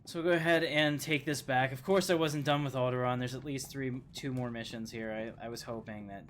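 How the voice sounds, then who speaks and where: Male streamer, average pitch, casual rambling gameplay commentary, chatty delivery, faint noise in the background. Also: steady tone, fairly quick, a bit bright. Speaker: game streamer, host.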